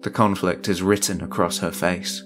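Low-voiced man narrating a horror story. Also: fairly even intonation, normal speed, fairly bright tone. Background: music.

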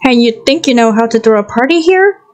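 A cheeky young mercenary woman acted by a woman with a high voice. She is brash and out for fun, a bold fantasy game fighter over music. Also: animated, brisk, lightly acted. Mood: bold, persuasive. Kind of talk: fan dub voice acting of a fantasy story game. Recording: music behind the voice.

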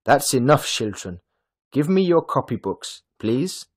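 A man puts on a fussy, kind-hearted woman schoolteacher from a storybook. The voice is raised and emphatic, exclaiming to a classroom with a husky edge. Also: medium pitch, big pitch swings, measured pace, voice pushed up. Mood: brisk, kindly. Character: gullible, kind-hearted German woman schoolteacher, fussily indignant.

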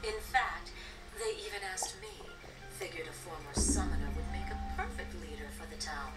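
A woman's voice: low voice, sing-song, moderate pace. Haughty echoey former summoner woman. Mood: haughty, dismissive. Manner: dry, condescending. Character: haughty, self-assured adult female former summoner. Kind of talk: video game voice acting. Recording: distant, echoey game audio under music.

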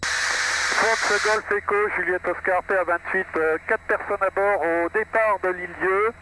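A man's voice: sits high, fairly even intonation, unhurried. A middle-aged man piloting a small plane, making hesitant radio calls and chatting over an aviation headset. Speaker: private pilot on the radio and cockpit intercom. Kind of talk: cockpit chat and radio calls. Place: small propeller plane cabin in flight. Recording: loud engine noise, cockpit headset audio.

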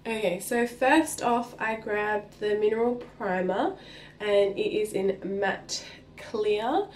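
A woman's voice: mid-range voice, slight background noise. A young woman with a slightly stuffy voice from a cold, chatting casually through a makeup review in a small room. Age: young adult. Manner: chatty, unhurried, trailing off mid-sentence. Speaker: beauty vlogger. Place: small indoor room, plain wall.

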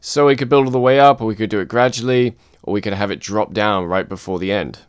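Low-voiced man explaining software steps. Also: fast, clean tone, faint music behind. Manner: calm step-by-step explaining. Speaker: music production instructor. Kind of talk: screen-recorded software tutorial.

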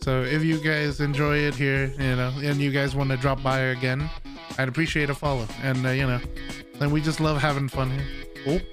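A man's voice: medium pitch, little pitch movement, a little fast. A man live-streaming a game, chatting casually and welcoming his viewers, with the odd swear word thrown in. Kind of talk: casual livestream chat while gaming. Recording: loud game music behind. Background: rhythm game music with guitar.

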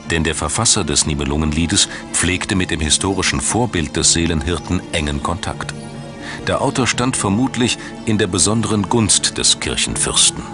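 Deep male doc narrator, measured n dramatic, music behind him. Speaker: documentary narrator. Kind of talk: scripted documentary narration. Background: background music.

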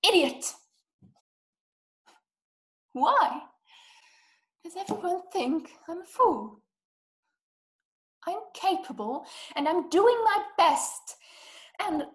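A woman's voice: very high-pitched, sing-song, drawn-out words, long pauses, full character voice. A young woman playing an anxious, haughty, bedridden wife in a drama, agitated and imperious. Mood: indignant. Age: young adult. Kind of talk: radio-play acting over video call. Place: small room indoors. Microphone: webcam video call.

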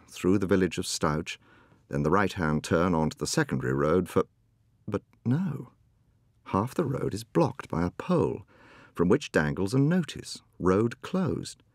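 Deep, gravelly narrator. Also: male voice, no room sound, clean studio sound. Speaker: audiobook narrator. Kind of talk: scripted first-person narration.

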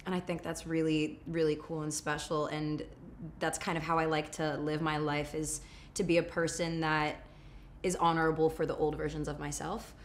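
A young woman whose first language is Polish, raised in New York, chatting casually as an interview guest on a sofa in a small room, candid and quick to laugh. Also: deep voice, level tone, slight background noise. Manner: laughing, playful, candid storytelling. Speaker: guest actor.

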